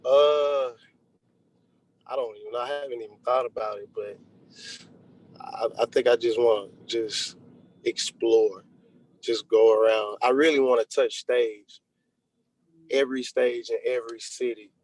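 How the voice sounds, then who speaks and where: A man's voice: medium pitch, fairly even intonation, measured pace, pauses often, a little mellow, dry recording, poor audio. A young man speaks in a medium register. He is a rapper giving an interview, keeping it casual and conversational with plenty of slang. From Texas, United States. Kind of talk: casual chat. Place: remote video call.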